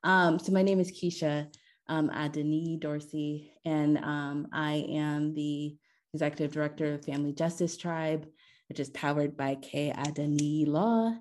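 A woman's voice: sits low, steady tone, unhurried, darkish tone. A woman from Los Angeles, executive director of an advocacy group, talking upbeat with lots of ums. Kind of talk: casual panel talk.

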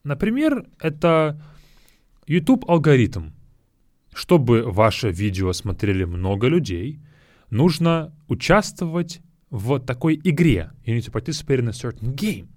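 Lively Russian man teaching slowly. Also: mid-range voice, no echo, studio quality. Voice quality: speaks slowly and repeats words to explain them. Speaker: Russian teacher and podcast host. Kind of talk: slow teaching podcast, Russian with English glosses.

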